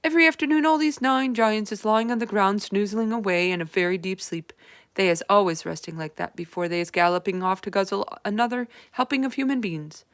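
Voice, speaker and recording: A woman's voice: mid-range voice, brisk, dry recording, studio quality, lightly acted. A woman voicing a big, friendly old male giant who mangles his words, read aloud from a storybook. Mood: earnest. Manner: mangled words, folksy, earnest.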